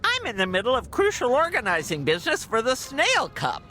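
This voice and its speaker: Snooty official in a cartoon game, sharp voice, very sing-song, bit of music. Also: medium pitch, huge pitch swings, a little fast. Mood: officious, dismissive. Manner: formal, dismissive. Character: officious, self-important cartoon race official. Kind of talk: animated video game voice acting.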